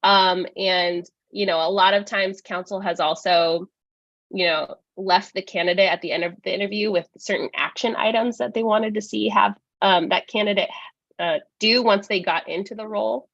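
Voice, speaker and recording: A congested woman getting over a cold, explaining procedure at a student government meeting in a measured way with many fillers. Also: medium pitch, fairly even intonation, creaky, a bit bright, no room sound, muffled recording.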